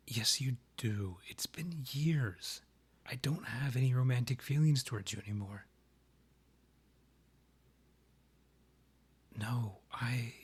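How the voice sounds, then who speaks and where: A man playing a proud, guarded vampire king in a romantic ASMR roleplay, with a breathy voice often near a whisper and a formal, courtly, halting manner. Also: medium pitch, a little mellow. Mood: flustered, guarded.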